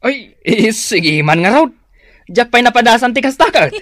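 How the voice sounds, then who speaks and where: A woman's voice: a little high, lively intonation, moderate pace, fairly bright tone. A woman acting a reluctant, self-conscious, grumbling spouse in a comedic radio drama, protesting and hesitant, with an Ilocano Filipino accent.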